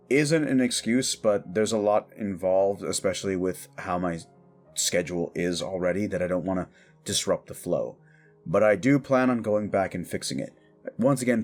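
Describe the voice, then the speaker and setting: Low-voiced man chatting casually. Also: darkish tone. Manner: effusive, informal. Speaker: audio roleplay creator and host. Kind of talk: casual outro chat to listeners. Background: faint music.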